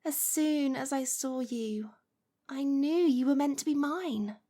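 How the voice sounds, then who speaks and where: A woman's voice: high-pitched, slow, warm tone. A woman playing a lovestruck, possessive witch in an ASMR roleplay, cooing and coaxing with a condescending sweetness. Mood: dreamy, smitten.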